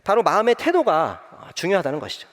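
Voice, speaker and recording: A young man preaching a sermon as pastor, telling a personal story with many pauses and filler sounds. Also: high-pitched, huge pitch swings, in a large hall. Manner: gentle, frequent "eo" fillers, warm storytelling.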